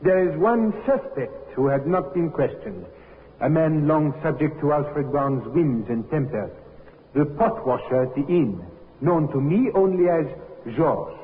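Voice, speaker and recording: Casting: vain French inventor, florid and accusatory, highish lively voice, old radio drama. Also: male voice, unhurried. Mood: pompous, accusing. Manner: florid, pompous, declamatory. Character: vain, half-crazy Frenchman, impoverished inventor, accusing and theatrical. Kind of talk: 1950s radio drama acting. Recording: echoing, tinny 1950s radio recording.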